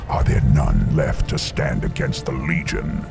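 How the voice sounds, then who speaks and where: An actor playing an arrogant, mocking giant demon lord in a video game, taunting with theatrical contempt. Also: male voice, extremely low pitch, vocal fry, full character voice. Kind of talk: video game villain voice acting. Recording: loud music behind. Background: dramatic game music.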